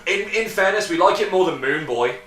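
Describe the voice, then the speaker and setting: Bright-voiced man, echoey and distant. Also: sits high, animated, brisk. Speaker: friend giving opinions on rankings. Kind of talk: casual chat, friendly debate. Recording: echoey room, distant from mic.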